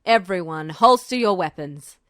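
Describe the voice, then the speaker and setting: A woman's voice: on the low side, expressive, moderate pace, fairly bright tone, dry recording, studio quality, pitched below the performer's own voice. A woman voicing a stern, authoritative male official in a fan fiction audiobook, giving clipped, imperious orders. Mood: commanding.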